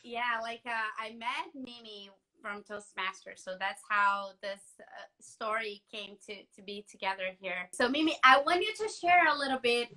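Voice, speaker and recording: A woman journalist hosting a conversational interview, enthusiastic and warm. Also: fairly high pitch, moderate pace, poor audio. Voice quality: warm, enthusiastic, restarts often. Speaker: host, journalist.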